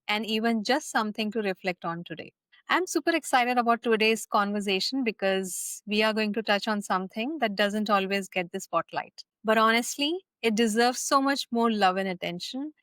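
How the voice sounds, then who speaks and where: A woman's voice: medium pitch, fairly bright tone, no room sound. Upbeat woman podcast host. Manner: upbeat and welcoming. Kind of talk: podcast interview hosting.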